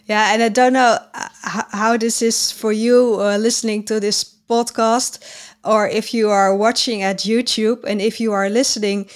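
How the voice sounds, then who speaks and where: A woman's voice: on the high side, fairly even intonation, moderate pace, clean tone. A woman hosting a podcast interview, encouraging her guest, with hesitant fillers. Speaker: podcast host.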